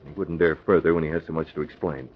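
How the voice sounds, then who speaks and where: An actor playing a confident masked vigilante in a 1940s radio adventure, firm and decisive. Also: male voice, rumbling low, fairly even intonation, quick pace. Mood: calm, planning. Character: confident masked vigilante posing as lone-wolf racketeer. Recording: muffled, hissy old radio recording.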